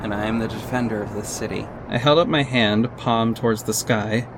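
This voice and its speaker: A man's voice: on the low side, steady tone, moderate pace, noisy background, lightly acted. A man playing a confident vigilante detective who narrates his own story in an earnest, dramatic audio drama style. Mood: frank, explaining. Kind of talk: first-person audio drama narration with acted dialogue.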